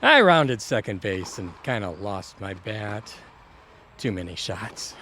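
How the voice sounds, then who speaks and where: A man's voice: lowish voice, big pitch swings, takes it slowly, pushed and bright, a little hiss or hum, exaggerated voice. A man playing a blunt, jokey, womanizing tennis buddy in a comedic audio drama.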